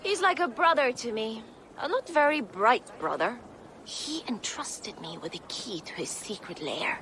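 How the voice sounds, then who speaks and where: A fairly high, unhurried female voice as a sly, flirtatious rogue. She drawls and teases, with faint noise behind. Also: expressive, sharp tone, exaggerated voice, poor audio. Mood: teasing, seductive. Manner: teasing, purring, drawling. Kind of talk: dramatic video game cutscene acting.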